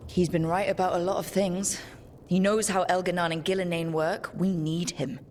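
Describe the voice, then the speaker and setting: An actor plays a resolute leader of a fantasy game team. The delivery is direct and challenging, medium in pitch, with noticeable ambience behind the voice. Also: flat delivery, moderate pace, darkish tone, a little hiss or hum, close to an everyday voice. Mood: firm. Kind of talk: naturalistic video game acting.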